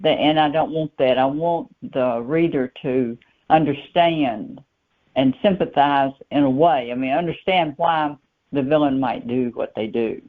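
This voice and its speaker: A woman author being interviewed, talking casually and haltingly with repeated words, on a thin phone line. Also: rumbling low.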